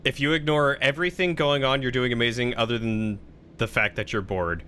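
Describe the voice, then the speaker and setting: A man gives casual gameplay commentary on a live stream. His voice is bright and of medium pitch, and his delivery is chatty and playful. Faint noise sits behind him. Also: a little fast.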